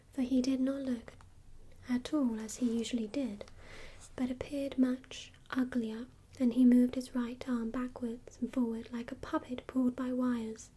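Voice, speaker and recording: A woman reading a classic story aloud in a steady, unvoiced ASMR whisper. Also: on the high side, slightly rough, poor audio. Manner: unvoiced whisper, slow and even. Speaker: narrator.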